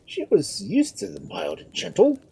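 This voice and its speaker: Woman playing a storybook nobleman, male, indignant, scheming, exclamatory, raised pitch, husky. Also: huge pitch swings, normal speed, mellow, exaggerated voice. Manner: emphatic, exclamatory.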